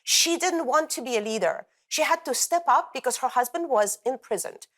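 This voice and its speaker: A woman's voice: high voice, lively intonation, moderate pace. A middle-aged woman, born in the former Soviet Union, speaking as an interview guest and telling her story with passion. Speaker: interview guest, corporate coaching leader. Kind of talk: conversational interview.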